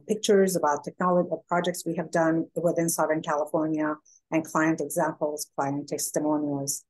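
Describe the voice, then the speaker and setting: Soft-spoken low woman on a video call. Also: low-quality recording. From Iran. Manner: hesitant, many fillers, earnest. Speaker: guest speaker. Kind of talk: presentation and interview answers. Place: home room.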